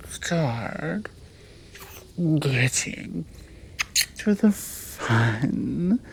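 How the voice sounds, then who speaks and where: A woman with a British accent playing a seductive, commanding monster woman in an intimate ASMR roleplay, teasing and often dropping into a breathy whisper. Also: low voice, animated, extremely slow, a little hiss or hum, heavily acted. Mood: seductive, pleased. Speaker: audio roleplay performer.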